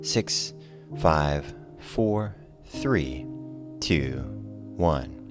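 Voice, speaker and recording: A man teaching a guided relaxation yoga class, giving calm, soothing, measured cues over soft music. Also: low-pitched. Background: soft guitar music.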